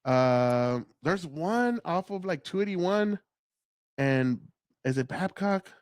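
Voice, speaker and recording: Man, podcast guest, slightly high voice, dry close mic, swears freely, easygoing. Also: expressive, slow, no room sound. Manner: loose, profane, says bro and dude a lot. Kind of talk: casual podcast chat.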